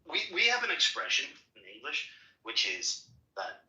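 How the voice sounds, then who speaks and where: A man taking part in a casual discussion, speaking in a dry, wry and measured way. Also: a little high, huge pitch swings, sharp tone, echoey room, rough recording. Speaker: participant in an online discussion.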